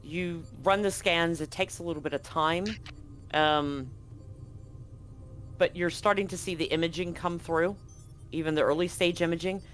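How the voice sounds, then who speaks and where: A man narrating a live tabletop game as game master, conversational and often halting to correct himself. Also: fairly high pitch, steady tone, fairly bright tone. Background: music.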